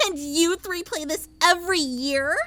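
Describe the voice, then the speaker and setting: A woman's voice: very high voice, measured pace, fairly bright tone, no echo, voice pushed up. A woman voicing a skeptical young filly pony with a dry, questioning, sarcastic manner in a storybook reading.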